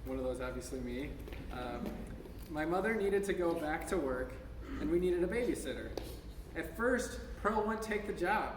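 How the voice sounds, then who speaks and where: A man's voice: on the high side, animated, normal speed. An American man in his thirties reading an essay aloud to a gathering in an informal way, now and then stumbling over his words. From United States, Minnesota. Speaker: speaker at a memorial service. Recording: echoey hall from far off, noisy.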